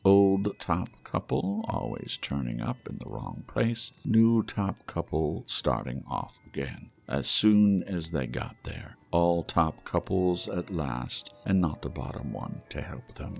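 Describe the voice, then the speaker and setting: Deep, gravelly man reading a story. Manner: measured, expressive storytelling. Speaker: audiobook narrator.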